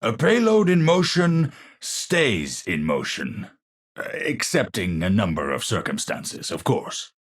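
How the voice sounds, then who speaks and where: An actor playing an eccentric, unstable scientist in a video game, with a Dutch accent, husky voice and theatrical, formal scientific phrasing. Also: male voice, on the low side, very animated, takes it slowly, heavily acted. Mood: measured, scholarly. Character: eccentric, unstable male scientist, lofty and absent-minded. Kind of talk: video game character voice lines.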